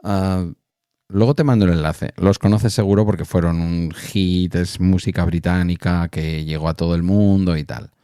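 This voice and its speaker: Deep, mellow man chatting. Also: steady tone, normal speed, clean tone, no echo, studio quality. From Spain. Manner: rambling, thinking aloud with asides. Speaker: podcast host. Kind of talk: casual chat.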